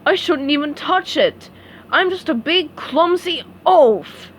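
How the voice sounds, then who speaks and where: A woman voicing a big, clumsy, goofy male starfish who talks loudly and emphatically as she reads a storybook. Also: high voice, animated, slow pace, a little hiss or hum, pitched above the performer's own voice. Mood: sheepish. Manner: loud and emphatic.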